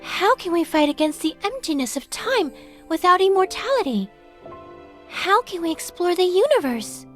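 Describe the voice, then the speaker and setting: Woman putting on a very high-pitched, devout young zealot girl. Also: sing-song, moderate pace, a bit bright, soft background music, full character voice. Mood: indignant. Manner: earnest, exclamatory, formal. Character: naive, devout young female revenant, indignant zealot.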